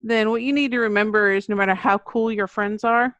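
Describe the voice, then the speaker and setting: Middle-aged American female, author giving an interview, mid-range pitch, very dry sound. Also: fairly even intonation, a little fast, fairly bright tone, no echo, dull audio. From Texas, United States. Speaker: children's book author, podcast guest. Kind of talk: interview conversation, explaining her writing.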